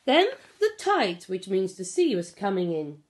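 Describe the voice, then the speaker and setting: A middle-aged woman reading a picture book aloud to young children, explaining and asking simple questions in an expressive way. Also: medium pitch, a little slow. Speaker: pre-school storyteller. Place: small living room at home. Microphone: phone or tablet at about arm's length.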